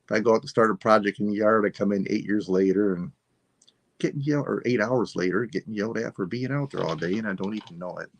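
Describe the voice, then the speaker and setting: A man of sixty-five, hosting a live sale and signing off in a relaxed, rambling way while losing his voice. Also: on the low side, fast, no echo. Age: 60s. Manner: relaxed, rambling, self-deprecating. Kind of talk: casual live stream sign-off.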